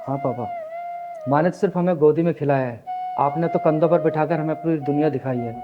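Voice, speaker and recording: A young man acted by a male performer with a fairly high voice. He delivers his lines with short-film melodrama over faint background music. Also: brisk, lo-fi sound, lightly acted. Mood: remorseful.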